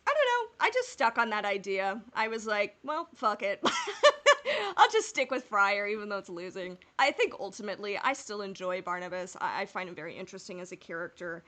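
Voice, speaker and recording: A woman's voice: medium pitch, big pitch swings, brisk, a bit bright. A woman co-hosting a podcast in a casual fan discussion, with an easygoing, giggly way of talking. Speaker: podcast co-host.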